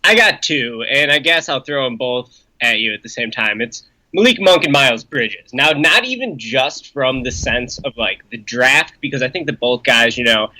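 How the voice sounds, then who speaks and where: A man with a bright, forceful tone breaks down basketball prospects on a podcast. His voice sits in a mid range and is recorded dry and close, and he speaks fluently, reeling off statistics with confidence. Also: medium pitch, animated, normal speed, no echo, poor audio. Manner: fluent, lists stats and details with confidence. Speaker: podcast guest, basketball analyst. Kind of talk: casual sports analysis.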